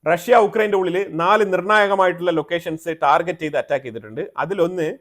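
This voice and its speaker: A man's voice: high voice, quick pace, fairly bright tone. A man with a Malayali accent presenting explanatory news commentary, often rephrasing himself and mixing in English terms.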